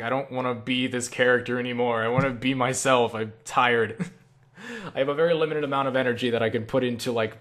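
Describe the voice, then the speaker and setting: A young man answering viewer questions in a casual, rambling, self-deprecating way, as an online creator. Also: mid-range voice. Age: young adult. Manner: self-deprecating, animated asides with acted-out quotes.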